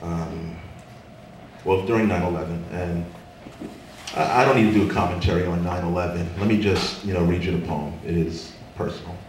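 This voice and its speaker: A man's voice: sits low, steady tone, moderate pace, darkish tone. A man performing his poetry live, moving between informal introduction and spoken word verse. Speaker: poet performer. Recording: echoey room, distant mic, faint noise.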